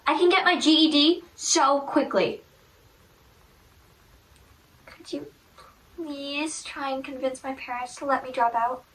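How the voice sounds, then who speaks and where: Woman playing a hushed, desperate teenage student. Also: high-pitched, unhurried, long pauses. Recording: roomy, from far off.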